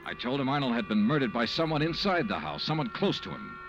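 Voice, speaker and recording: An actor playing a wry, hardboiled insurance investigator, narrating a vintage radio detective drama in a brisk, dry manner. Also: male voice, mid-range voice, very animated, muffled recording, noisy background, natural voice. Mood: matter-of-fact. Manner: brisk, dry, matter-of-fact.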